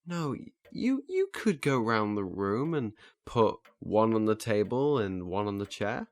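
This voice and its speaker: A man's voice: on the low side, very animated, unhurried, no echo. A man playing an earnest, flustered learner in a naturalistic audio drama, hesitant and stammering as he restarts his phrases. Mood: patient, instructing. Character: earnest, flustered male learner eager to master memory techniques.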